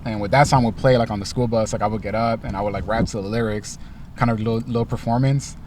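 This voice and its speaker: A young man from Los Angeles, a rapper, answering interview questions in a car in a relaxed way, with lots of ums and likes. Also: on the low side, quick pace, distant mic, a little hiss or hum. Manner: laid-back, many ums and likes. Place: inside a parked car.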